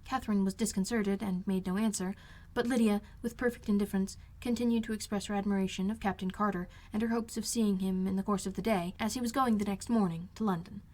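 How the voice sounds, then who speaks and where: Woman narrating a classic novel, mid-pitched. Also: fairly even intonation, fast talker, a little mellow. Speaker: amateur audiobook reader. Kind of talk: scripted literary narration.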